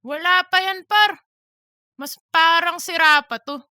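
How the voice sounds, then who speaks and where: A woman voicing a teasing male office buddy with drawn-out, slangy talk in a comic audiobook. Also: very high-pitched, pushed and bright, dry recording, studio quality, full character voice. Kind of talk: scripted comic audiobook dialogue.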